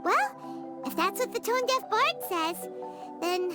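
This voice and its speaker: A tiny floating fairy companion from a video game, chatters in a squeaky, childlike voice. It sits extremely high and bounces around with bubbly excitement. Loud music plays behind it. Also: female voice, takes it slowly, full character voice. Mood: hopeful. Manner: bubbly, exclamatory.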